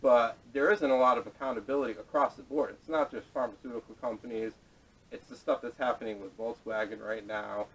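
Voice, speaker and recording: A man's voice: mid-range voice, steady tone, a little fast, fairly bright tone. A man hosts a casual panel talk in a mid-range voice. He circles through his points with hesitant, rambling phrasing. The camera audio puts him far from the microphone, with light noise behind. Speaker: podcast host. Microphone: distant camera microphone. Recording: distant camera audio, noisy.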